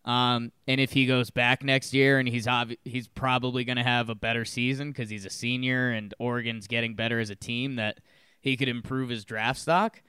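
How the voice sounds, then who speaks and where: A man's voice: mid-range voice, flat delivery, moderate pace, sharp tone, clear, resonant tone, no room sound, clean studio sound. A man co-hosting a sports podcast, rambling through football talk with plenty of ums and likes. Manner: rambling, with fillers and laughs. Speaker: podcast co-host. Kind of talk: casual sports chat.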